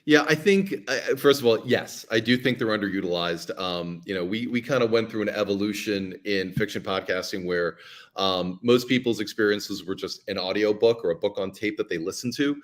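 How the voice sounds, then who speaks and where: A man's voice: lowish voice, moderate pace. A man speaking as a guest on a podcast, chatty and giving advice with frequent ums and restarts. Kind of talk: casual podcast conversation.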